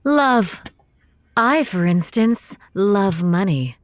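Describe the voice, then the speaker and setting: A woman's voice: mid-range voice, lively intonation, drawn-out words. A woman playing a scheming, sardonic young mother with a melodramatic streak in a comedy audio drama. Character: scheming gold-digging young mother, brash and sly.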